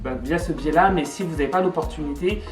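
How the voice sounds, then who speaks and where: A woman's voice: low voice, quick pace, music in the background. French woman host, chatty and upbeat, voice from far in an echoey room. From France. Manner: chatty, upbeat, hesitant fillers. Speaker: YouTuber host. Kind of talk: casual interview.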